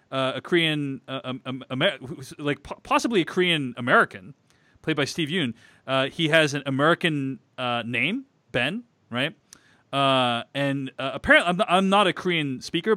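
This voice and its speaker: A man's voice: mid-range voice, sharp tone, dry recording. A man hosting a podcast, talking through a film in a rambling way with many restarts and fillers. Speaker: podcast host. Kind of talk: casual film discussion.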